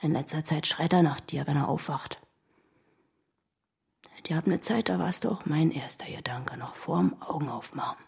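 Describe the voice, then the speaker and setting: Low, creaky-voiced bitter woman. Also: moderate pace, darkish tone, whispered, heavily acted. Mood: weary, bitter. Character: bitter, worn-out ex-wife, pleading to be left alone. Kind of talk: naturalistic German radio play acting.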